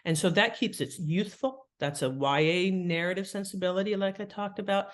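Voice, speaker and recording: A woman's voice: medium pitch, normal speed. Female guest author, conversational interview delivery, instructive, example-led. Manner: instructive, illustrates points with examples. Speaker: guest author and writing teacher.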